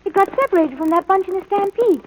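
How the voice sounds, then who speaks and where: A performer playing an eager, earnest young ranch boy, respectful and excitable, in a 1940s radio drama. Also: extremely high pitch, quick pace, rough recording. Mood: excited.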